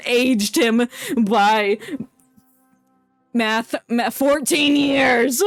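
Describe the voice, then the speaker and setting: High-pitched, playful woman streamer, very dry sound. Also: very slow, dry recording, soft background music. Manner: playful, mocking. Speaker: game streamer. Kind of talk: casual joking livestream commentary.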